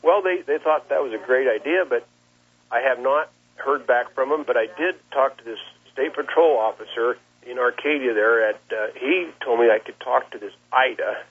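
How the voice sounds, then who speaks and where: A man, a plain-spoken cattle rancher giving a halting account in an interview over the telephone. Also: medium pitch, breathy edge. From Nebraska, United States. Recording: muffled, lo-fi phone interview line.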